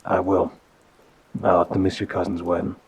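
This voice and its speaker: A man playing a soft-spoken, apologetic mafia boss boyfriend in an intimate ASMR roleplay, hesitant and gentle, with a low, husky, breathy voice. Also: monotone, heavily acted. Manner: hesitant, gentle, sighing pauses. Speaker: audio roleplay performer. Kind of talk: ASMR audio roleplay, one-sided intimate phone call.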